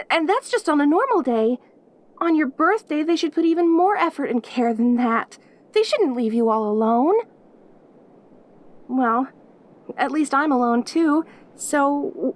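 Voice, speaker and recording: Woman playing a high-pitched, perky, lonely demon girl. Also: no room sound. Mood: cheerful, wistful. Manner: cutesy, gushing, hesitant stammering. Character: perky, lonely, clingy caged demon girl, centuries old. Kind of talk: audio roleplay acting addressed to the listener.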